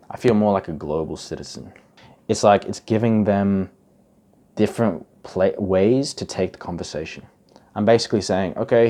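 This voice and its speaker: Chatty young man with a low voice. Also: mellow. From Indonesia. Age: young adult. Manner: chatty, many fillers like "like" and "right?". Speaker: self-improvement YouTuber. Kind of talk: casual advice talk to camera.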